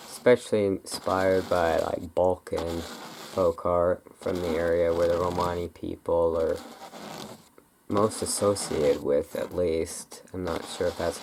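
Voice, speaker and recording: An ASMR creator chatting casually and unscripted, in a halting, rambling way that often breaks off mid-thought. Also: sits low, gravelly, darkish tone, slight background noise, rough recording. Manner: halting, rambling, self-interrupting.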